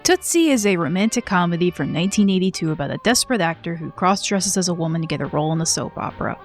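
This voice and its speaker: A woman narrating a scripted video essay in a measured, earnest way. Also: fairly low pitch, animated, faint music behind.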